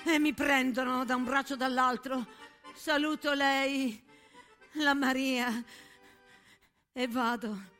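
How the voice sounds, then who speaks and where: A woman's voice: sits high, steady tone, slow pace, long pauses, fairly bright tone, soft background music. A woman playing a desperate condemned villager telling the story in a theatrical war monologue. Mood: dazed, tender.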